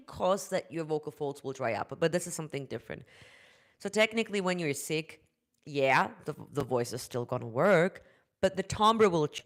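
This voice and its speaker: A German-accented woman, a vocal coach, talking casually to camera about singing. She speaks in a chatty, looping way, restarting and repeating words and tagging her points with quick "right?" checks. English is her second language, and it shows in her phrasing. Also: low-pitched.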